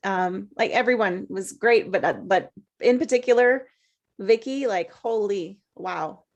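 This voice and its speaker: A woman in casual group conversation, talking in an informal, halting way. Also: mid-range voice, highs cut off. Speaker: table read participant.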